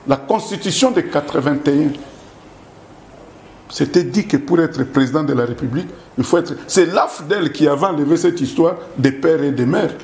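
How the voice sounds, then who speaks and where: High-voiced, insistent Congolese man arguing. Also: a little mellow, echoey room, slight background noise. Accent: Congolese French. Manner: insistent, argumentative, repeats himself, asks rhetorical questions. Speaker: interview guest in a political debate. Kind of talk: heated political argument.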